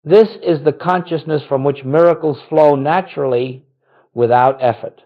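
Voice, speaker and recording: A man delivering a scripted spiritual sermon in a solemn, gentle manner, with short, deliberate phrases. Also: fairly high pitch, steady tone, lo-fi sound. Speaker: spiritual teacher.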